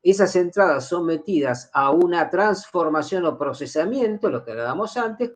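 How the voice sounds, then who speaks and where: A middle-aged man giving a university lecture, explaining step by step, repeating key phrases and checking in with his students. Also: on the high side.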